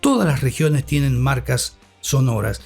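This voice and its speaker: An Argentine man speaking thoughtfully as an interview guest and radio scholar, with deliberate delivery and a formal, learned vocabulary. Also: mid-range voice, animated, vocal fry, a little mellow, soft background music.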